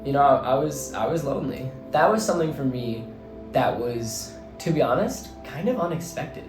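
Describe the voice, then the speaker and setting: Slightly hoarse young man rambling earnestly. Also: medium pitch, animated, moderate pace. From New Jersey. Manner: rambling, filler-heavy, earnest. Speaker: vlogger and teacher. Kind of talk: casual reflective monologue. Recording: roomy echo, faint background music.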